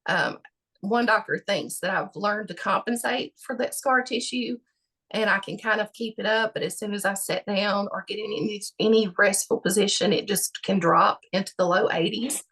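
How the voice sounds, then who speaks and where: A woman with a gravelly voice answering interview questions about her health, halting and full of fillers. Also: medium pitch, fairly bright tone, low-quality recording. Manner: halting, many fillers and restarts. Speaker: patient guest.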